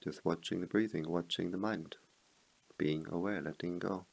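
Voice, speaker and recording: A man with a very low voice and a heavy, creaky fry guides a meditation. He speaks as a teacher, in short, measured phrases. Long pauses separate his slow instructions. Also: steady tone.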